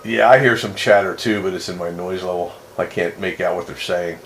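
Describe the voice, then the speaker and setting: A man in casual on-air radio chat, speaking haltingly and repeating phrases, heard over a two-way radio. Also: deep voice, fairly even intonation. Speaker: amateur radio net operator. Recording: noisy radio transmission with static.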